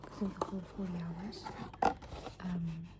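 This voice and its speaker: Woman, 20s, ASMR creator. Hushed whispered rambling, casual and meandering, with clear background noise. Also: lowish voice, little pitch movement, a little slow. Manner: casual, meandering, thinking aloud. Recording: clearly noisy background hiss and ambience.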